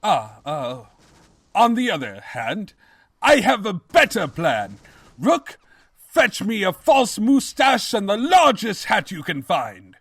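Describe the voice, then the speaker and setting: A man's voice: high voice, big pitch swings, slow pace, a bit bright, husky, no echo, heavily acted. An actor playing a pompous evil pony king, grandiose yet cowardly, in a bombastic comedic audio drama style. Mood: deflated. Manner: bombastic, theatrical declarations.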